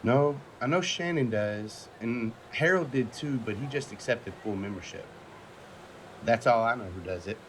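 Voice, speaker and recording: A an actor as a frank, supportive man with a medium-pitched voice. He talks casually and sincerely in a natural audio-drama style. Faint ambience is behind him. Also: normal speed, slight background noise, lightly acted, low-quality recording. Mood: matter-of-fact. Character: frank, supportive man in self-help group. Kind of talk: naturalistic audio fiction acting.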